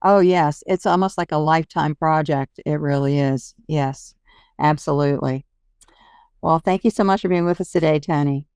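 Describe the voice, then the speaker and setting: A woman's voice: low-pitched, normal speed, darkish tone, smooth, no echo, studio quality. A woman hosting a podcast interview in casual talk, affirming and appreciative toward her guest. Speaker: podcast host.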